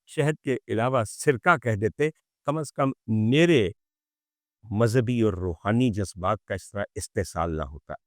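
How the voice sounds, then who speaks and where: A man giving an opinionated commentary to viewers, indignant and sarcastic, using rhetorical questions and pauses for emphasis. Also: fairly low pitch, no room sound, clean studio sound. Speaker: commentary show host.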